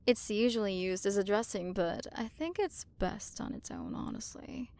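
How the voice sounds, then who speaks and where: A woman's voice: mid-range voice, expressive, darkish tone, dry recording, close to an everyday voice. A woman playing a haughty, possessive alien galaxy queen in an ASMR roleplay, condescending and flirtatious as she toys with the listener. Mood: boastful, indulgent. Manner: condescending, flirtatious, drawn-out delivery with breathy drops into whispers. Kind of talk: ASMR roleplay, scripted character acting.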